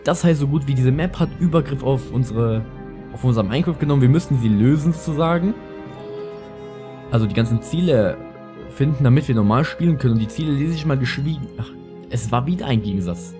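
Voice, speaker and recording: A man's voice: medium pitch, animated, brisk. A young man with a lisp giving casual, rambling, slangy gameplay commentary as a let's player. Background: music.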